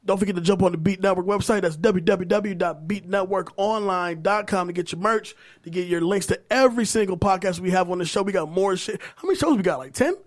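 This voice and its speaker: A man's voice: high-pitched, fast, hardly any pauses. A man of about thirty hosting a podcast with casual, slangy hype and some swearing. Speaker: podcast host.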